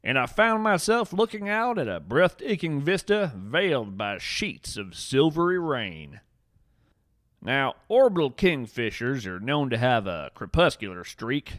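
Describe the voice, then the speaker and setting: Man acting as folksy old-hand cryptid naturalist, lively swooping pitch, theatrical deliberate storytelling, dry close sound, fiction podcast narrator. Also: no room sound. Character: whimsical veteran male cryptid naturalist, earnest, folksy adventurer.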